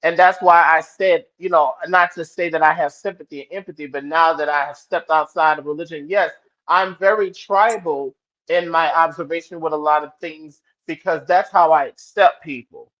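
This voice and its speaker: New guy, kinda high voice, cussing and emphatic, muffled lo-fi audio. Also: fairly even intonation, normal speed, fairly bright tone. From New Orleans, US. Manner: profane, emphatic, with restarts. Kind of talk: casual discussion.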